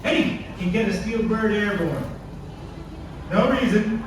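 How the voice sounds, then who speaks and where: A man acts a brisk military penguin boss for a puppet show. The pitch is high and the manner clipped and commanding. It sounds distant and heavily echoed, with faint noise. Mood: scheming. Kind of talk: scripted puppet show character acting.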